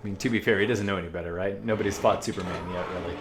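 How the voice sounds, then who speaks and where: A man hosting a reaction video, chatting casually and musingly in halting asides as he comments on a cartoon. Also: deep voice, steady tone.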